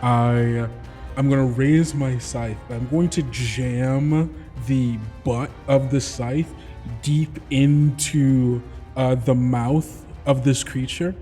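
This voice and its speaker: A man's voice: mid-range voice, steady tone, takes it slowly, mellow, in a large hall, soft background music. A man playing a tabletop roleplaying game, narrating his character's actions.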